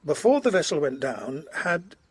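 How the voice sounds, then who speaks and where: A man playing a courteous, earnest Georgian colonel in a period audio drama, recounting gravely in formal, slightly hesitant speech. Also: a little high, big pitch swings, no echo. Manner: formal, hesitant, measured storytelling.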